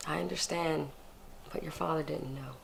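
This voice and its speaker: A woman's voice: deep voice, fairly even intonation, normal speed, vocal fry. A woman acting a regretful middle-aged birth mother, earnest and hesitant, in a screen drama. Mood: pleading. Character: regretful birth mother pleading with her grown son. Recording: faint background noise.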